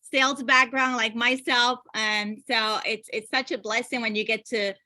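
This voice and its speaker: Woman webinar host, very bright high voice, upbeat and encouraging. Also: steady tone, moderate pace, no room sound. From Guyana. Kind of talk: informal webinar hosting.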